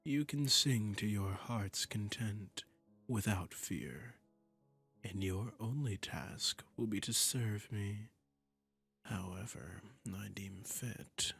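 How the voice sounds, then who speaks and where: A man's voice: lowish voice, slow, gravelly, a bit bright, husky, soft background music, full character voice. A man playing a seductive, commanding demon prince in a romantic roleplay audio drama, speaking in a silky, imperious way and often dropping into a murmur. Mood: possessive, indulgent. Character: seductive, commanding male demon prince claiming a captive singer.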